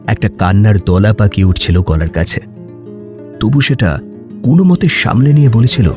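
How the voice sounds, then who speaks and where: A man's voice: fairly low pitch, very animated, moderate pace. A man narrating an audio love story in a dramatic, emotive, literary style. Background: background music.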